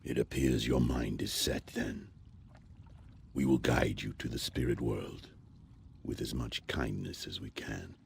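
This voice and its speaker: Grave old alien sage for a fantasy game, super deep n gravelly, solemn, bit of noise behind. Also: male voice, fairly even intonation, darkish tone, exaggerated voice. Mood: sorrowful. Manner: solemn, measured, formal. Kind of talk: fantasy video game voice acting.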